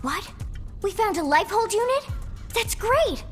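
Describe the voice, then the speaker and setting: A woman's voice: very high-pitched, very animated, a little slow, soft background music, full character voice. A woman playing an upbeat, eager teenage girl soldier and tech whiz in a video game. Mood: excited, curious. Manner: bubbly, eager.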